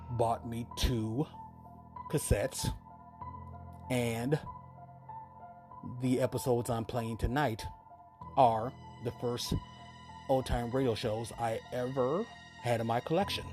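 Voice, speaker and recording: A man's voice: mid-range voice, takes it slowly, long pauses. A man in his fifties hosting a show, giving a casual scripted introduction. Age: 50s. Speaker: podcast host. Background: music.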